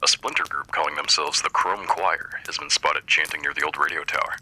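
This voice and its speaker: A man playing a wry, easygoing radio host in a post-apocalyptic wasteland, delivering scripted monologue with deadpan, folksy humour. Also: very deep voice, fairly quick, full character voice, poor audio. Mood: wry, gossipy.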